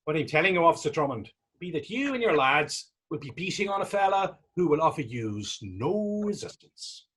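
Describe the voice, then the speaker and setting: A man playing a taunting, defiant Irishman in a readers theater drama, with a husky voice, an Irish accent and a mocking, wordy old-fashioned way of talking. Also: a little high, very animated, normal speed, few pauses, fairly bright tone, heavily acted. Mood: wry, teasing. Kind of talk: readers theater stage acting.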